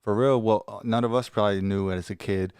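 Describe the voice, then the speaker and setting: A man's voice: fairly low pitch, fairly even intonation, brisk, a little mellow, clear, resonant tone, dry recording, studio quality. A man in his thirties hosting a podcast, asking long, rambling, eager questions. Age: 30s. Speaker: podcast host. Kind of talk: casual interview chat.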